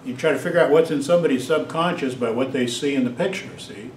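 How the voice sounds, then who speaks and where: A man's voice: mid-range voice, fast talker. A man giving an informal, chatty psychology lecture, talking straight to his students and wandering off into asides. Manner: chatty, digressive, talks directly to students. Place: lecture hall. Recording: roomy hall, distant mic, faint hiss.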